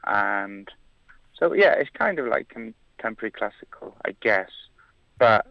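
A man's voice: on the low side, sing-song, a little slow, creaky, no echo, lo-fi sound. A man from Wales, a musician, chatting casually in an interview, hesitant with many fillers and restarts. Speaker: musician guest.

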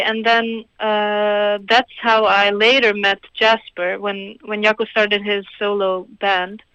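A woman's voice: mid-range voice, a bit bright, clear, resonant tone, no room sound, highs cut off. A woman from Croatia, a singer-songwriter answering interview questions in a hesitant, thoughtful way with small laughs. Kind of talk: radio interview guest.

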